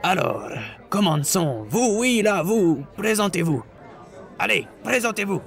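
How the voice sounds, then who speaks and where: Man as bossy young dwarf, brusque, impatient, higher-pitched male voice, comic fantasy audio drama. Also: animated, a little slow, creaky, faint music behind, a faint crowd, full character voice. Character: gruff, haggling, bullying young male dwarf adventurer.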